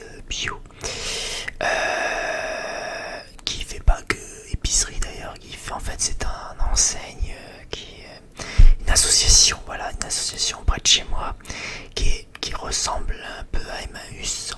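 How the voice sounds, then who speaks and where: An ASMR creator chatting in a rambling, hesitant way, often repeating phrases. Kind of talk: whispered ASMR chit-chat.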